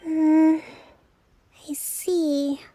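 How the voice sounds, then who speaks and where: A woman voicing a gentle, dutiful young female leader in a video game, speaking politely and with measured composure. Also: sits high, flat delivery, no echo, faint music behind. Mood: reluctant, apologetic. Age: young adult.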